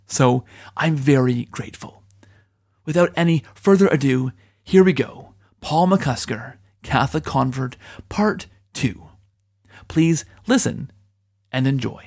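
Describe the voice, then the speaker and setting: A man with a slightly high voice, presenting as a podcast host. He speaks effusively and earnestly, in the upbeat style of someone introducing and leading an interview.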